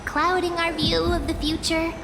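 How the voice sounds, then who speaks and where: A woman voicing a playful, dreamy young girl in an anime-style video game, coy and earnest, with cutesy, expressive delivery. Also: very high voice, normal speed, noisy background. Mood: earnest, thoughtful.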